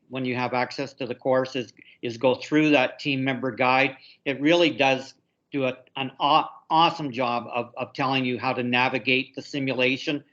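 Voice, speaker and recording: A man speaking as an instructor on a conference call, giving informal step-by-step advice and often doubling back on his words in a halting way. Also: medium pitch, a bit bright. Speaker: university instructor. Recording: slightly dull conference-call audio.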